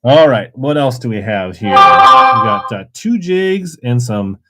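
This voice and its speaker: A man's voice: mid-range voice, expressive, measured pace, sharp tone, faint music behind. A man hosting a casual livestream auction, chatting in a rambling way and reading viewer comments aloud. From Minnesota. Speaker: live auction seller.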